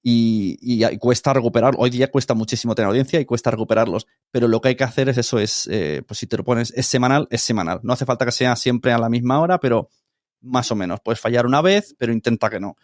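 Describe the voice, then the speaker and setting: A man's voice: mid-range voice, fast talker, no echo, clean studio sound. A middle-aged man with an accent from Spain, talking casually and informally as an interview guest, explaining with examples. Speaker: podcast producer, interview guest.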